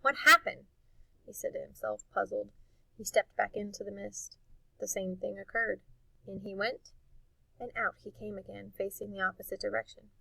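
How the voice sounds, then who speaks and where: Bright, creaky-voiced woman reading a story. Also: mid-range voice, flat delivery, moderate pace, dry recording, rough recording. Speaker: audiobook reader. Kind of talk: expressive storybook narration.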